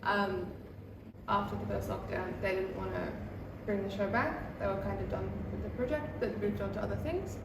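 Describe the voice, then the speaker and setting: A woman's voice: medium pitch, moderate pace. A woman, a comedian chatting casually in an interview with lots of "um" and "like". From Australia. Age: 20s. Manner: relaxed, chatty, with many fillers like "um" and "like". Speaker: comedian and comedy night producer. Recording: echoey room, mic far off, noisy background.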